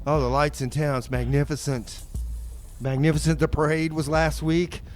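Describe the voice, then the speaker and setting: A man works as a community radio DJ, chatting casually between songs. His voice is a little higher than average, and his manner is easygoing and folksy. Faint noise sits behind him in the broadcast. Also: flat delivery, normal speed. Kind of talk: casual music show patter.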